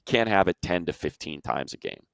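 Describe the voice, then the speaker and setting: A man's voice: sits low, lively intonation, brisk, creaky, dry recording. A man casually talking sports as an analyst, repeating phrases for emphasis.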